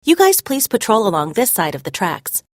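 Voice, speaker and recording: A woman's voice: medium pitch, huge pitch swings, normal speed, dry recording, clean studio sound. A woman reading the storybook part of an earnest, determined young girl volunteer in an emphatic way. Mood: businesslike. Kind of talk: read-aloud storybook dialogue.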